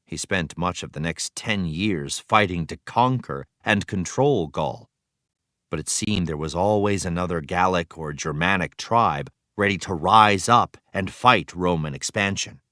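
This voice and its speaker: A man reading an educational text aloud as a teacher, in an explanatory way with clear phrasing for young learners. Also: sits low, measured pace, sharp tone, no echo, studio quality. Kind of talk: read-aloud educational narration.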